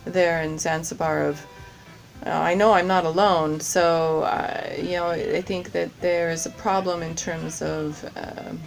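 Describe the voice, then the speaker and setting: A woman's voice: low voice, little pitch movement, measured pace, gravelly, faint music behind, poor audio. A woman answering interview questions about her work, hesitant, with many fillers and restarts. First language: English. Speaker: course participant, general manager.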